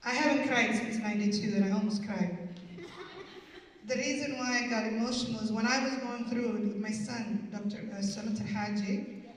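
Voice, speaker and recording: A woman's voice: mid-range voice, moderate pace. A woman in her fifties, a comedian and storyteller, speaking live on stage. She talks with emotional, joking, broken English phrasing. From Canada. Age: 50s. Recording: echoey hall, far from mic.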